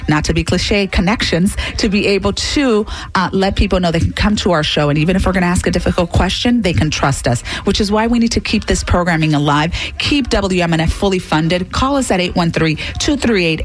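Talkative woman hosting a radio pledge drive. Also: on the low side. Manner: talkative, restarts and "um"s, earnest appeals. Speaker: radio show host. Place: radio studio.

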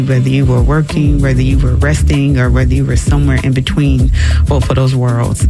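Female radio host, casual and encouraging, talks over a loud music bed. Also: low voice. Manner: warm, encouraging, chatty, with filler words.

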